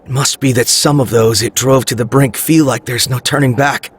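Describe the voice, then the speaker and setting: An actor playing a resolute young police team leader in a video game, speaking in a formal, measured, firm manner. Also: male voice, mid-range voice, fairly even intonation, breathy edge, lightly acted. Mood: reasoning. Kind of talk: anime-style video game voice acting.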